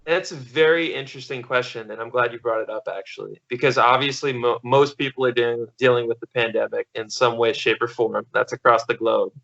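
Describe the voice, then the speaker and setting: An American man in his thirties chats casually as an interview guest. His voice is forward and sharp with plenty of fry, and he rambles as he tells stories. The sound is a little dull, like a video call. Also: mid-range voice, fairly even intonation, fairly quick, few pauses. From small-town Missouri, USA. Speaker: podcast guest, stuntman and actor. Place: living room at home, on video call.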